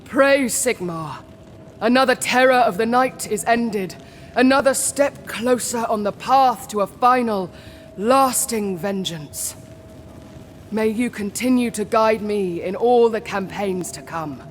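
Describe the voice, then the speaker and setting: A righteous, fierce young warrior in a fantasy game. She declaims in a high voice at a measured pace, resolute and vengeful. Long reverb surrounds her, with faint noise. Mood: solemn, devout. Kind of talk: heroic video game cutscene acting.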